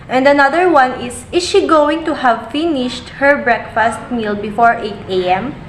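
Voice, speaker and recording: A young woman with a fairly high voice explains verb tenses steadily, working through example sentences. She is recorded on a webcam in a room that echoes. Faint noise sits behind her. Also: measured pace. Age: young adult. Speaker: student presenter. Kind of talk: reading a grammar lesson. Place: indoors, virtual slide background.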